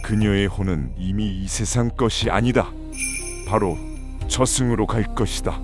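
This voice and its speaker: An actor playing an ancient, arrogant god in an occult audio drama, speaking solemnly and commandingly. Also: male voice, fairly low pitch, unhurried, music in the background, natural voice. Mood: stern, commanding. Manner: imperious, solemn, declarative.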